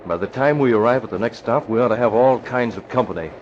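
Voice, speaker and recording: An actor playing a resolute, secretive vigilante hero in old-time radio drama, earnest and decisive. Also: male voice, mid-range voice, fast talker, natural voice. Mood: confident. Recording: muffled, hissy old radio recording.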